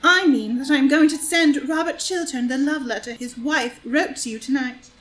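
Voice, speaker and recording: A woman's voice: high-pitched, moderate pace, a bit bright, slight background noise, poor audio. A woman playing a scheming, thieving, haughty society lady in a theatrical audio drama reading of a stage play. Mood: triumphant spite.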